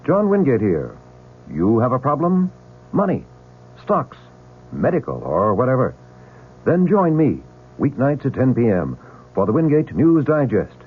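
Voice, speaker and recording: A man's voice: mid-range voice, slightly rough, phone-quality sound. A man hosting radio news, reading a show promo in punchy, clipped phrases addressed straight to the listener. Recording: band-limited old radio broadcast.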